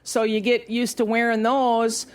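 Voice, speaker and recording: A woman with a fairly high voice hosts a relaxed podcast interview. She puts her questions in a hesitant, halting way, full of fillers. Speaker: podcast host. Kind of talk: casual interview.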